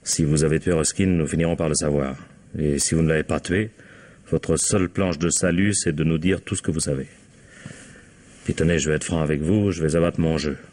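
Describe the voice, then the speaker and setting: A man playing a shrewd police inspector in a radio mystery, dry and wry, pressing with calm authority. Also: extremely low pitch, fairly quick. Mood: confrontational. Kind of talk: vintage radio mystery acting.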